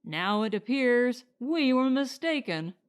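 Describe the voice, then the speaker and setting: Principled male settler for audiobook female reader, pointed and firm, slightly high pitch, unhurried. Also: animated, clear, resonant tone. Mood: resolute. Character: principled, blunt husband and would-be settler. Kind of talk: audiobook character dialogue.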